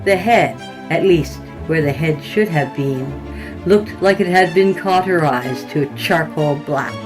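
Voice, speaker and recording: Female horror podcast narrator. Low register, deliberate storytelling, faint music underneath. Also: rough recording.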